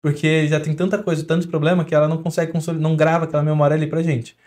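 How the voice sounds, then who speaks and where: A Brazilian man talks quickly as a podcast guest, with a somewhat raised voice. He explains health habits through homely everyday examples and keeps a chatty, steady flow. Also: a little high. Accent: Brazilian Portuguese. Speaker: doctor guest. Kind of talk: podcast interview.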